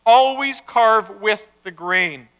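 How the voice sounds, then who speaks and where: A man's voice: high voice, slow, a bit bright, clear, resonant tone. A man voicing a blunt, fatherly God with an authoritative, sardonic manner in a told story. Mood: wry, commanding. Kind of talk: live storytelling. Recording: echoey hall, long reverb.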